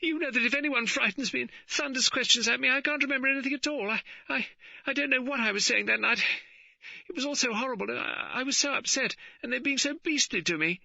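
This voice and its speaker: A man's voice: very high voice, fast talker, creaky, sharp tone, dry recording, voice pushed up, heavily acted. Man putting on a tearful flustered young wife. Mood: sobbing. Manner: breathless, stammering, pleading. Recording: dull, dry studio recording.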